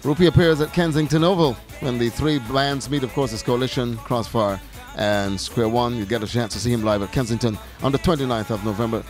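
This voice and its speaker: A man's voice: mid-range voice, fairly quick, few pauses, faint music behind. A middle-aged man with a Bajan accent, hosting a television interview show. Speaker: TV show host. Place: outdoors at night. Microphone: handheld microphone.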